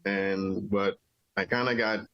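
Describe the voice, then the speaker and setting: Relaxed Jamaican-born man, low voice. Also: slow, dull audio, a little hiss or hum. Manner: relaxed, rambling with many "you know" fillers. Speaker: interview guest, army veteran. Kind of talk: casual storytelling interview.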